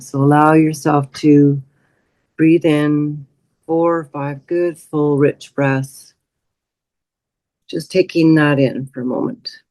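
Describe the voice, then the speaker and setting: A woman leading a guided meditation as a teacher, speaking in a soothing, unhurried way with long pauses. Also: low voice, darkish tone, no room sound, rough recording.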